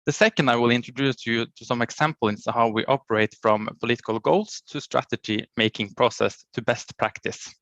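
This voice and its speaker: A man reads out a prepared conference talk at an ordinary mid pitch. His delivery is formal and halting, with clipped words that he restarts. The sound is close and dry. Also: a bit bright, no room sound, dull audio. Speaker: county education official presenting. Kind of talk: reading a prepared conference presentation.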